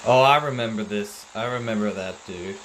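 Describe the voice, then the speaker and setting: A man's voice: fairly low pitch, normal speed, fairly bright tone, slight background noise, rough recording. A man hosting a reaction video, talking casually in a hesitant, rambling way about what he watches.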